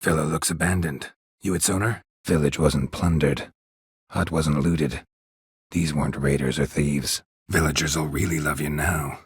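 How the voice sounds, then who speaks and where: An actor playing a gruff, weary, stoic monster hunter in a video game, a gravelly voice and a terse, deadpan delivery. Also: male voice, a little slow, no echo, heavily acted. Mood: matter-of-fact, grim. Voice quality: husky, gravelly low voice. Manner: terse, clipped, deadpan, dry. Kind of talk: video game character dialogue.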